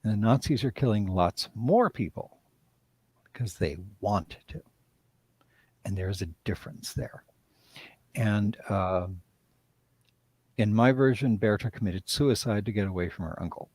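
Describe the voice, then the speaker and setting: A man's voice: on the low side, measured pace, pauses often, darkish tone, no room sound. A man, a writer and director, speaking reflectively in a live-stream interview and often trailing off mid-thought.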